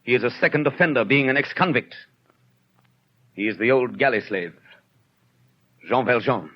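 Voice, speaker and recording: A rough, husky-voiced police inspector in an old radio drama. He is rigid and formal, insisting on duty. The sound is dull and lo-fi. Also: mid-range voice, animated, moderate pace. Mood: coldly formal. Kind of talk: 1930s radio drama acting. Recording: dull 1930s radio recording.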